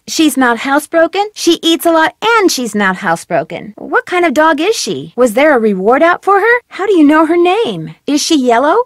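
A young woman sleuth, curious and wry, with questions that keep coming. Her voice sits a little high and moves a lot in pitch. The studio recording is close and dry. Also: no echo. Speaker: game character. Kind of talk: studio game voice lines. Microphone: close studio mic.

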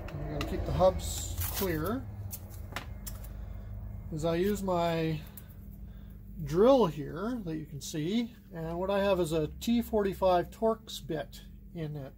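Higher-pitched man, halting tutorial talk. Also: a little mellow, slight background noise. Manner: halting, thinking aloud while working with hands. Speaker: hobbyist demonstrating cassette repair. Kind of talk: casual unscripted workbench tutorial. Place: indoors at a wooden workbench.

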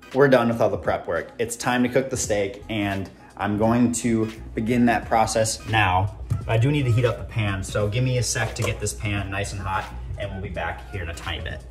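A young man chatting casually while he cooks in a home kitchen, rambling with playful asides. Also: lowish voice. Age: young adult. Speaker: home cooking vlogger. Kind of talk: casual cooking vlog chat. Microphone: camera on the counter, moving around the kitchen. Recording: clear background music under the voice.

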